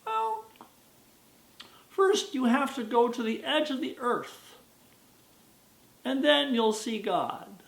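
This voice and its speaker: A storyteller doing a slow, knowing old village wise woman. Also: high voice, big pitch swings, creaky, voice pushed up, exaggerated voice. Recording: roomy echo.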